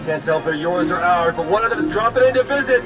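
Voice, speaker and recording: A man's voice: sits high, animated, fast talker, over loud music. An actor playing a brash male pilot calling out urgently over comms.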